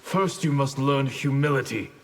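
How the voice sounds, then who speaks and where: Stern wise ninja teacher guy male actor, mid pitch, video game with big echoey reverb. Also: normal speed, lightly acted. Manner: grave, admonishing. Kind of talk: video game fight banter.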